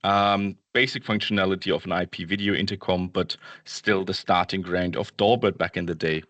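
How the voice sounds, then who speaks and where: A man giving an informal product presentation as a company representative, speaking haltingly with frequent filler sounds. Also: deep voice, fairly even intonation, fairly bright tone, no echo. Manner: halting, hesitant delivery with many ums and uhs. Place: indoors, Berlin office.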